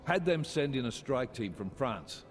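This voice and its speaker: An actor playing a brisk, businesslike male Interpol agent in a video game cutscene. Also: medium pitch, very animated. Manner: brisk briefing tone.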